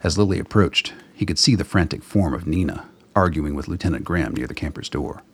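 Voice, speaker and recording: A man with a very deep, gravelly voice reading scripted dramatic narration for a radio play. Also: lively intonation, fairly quick.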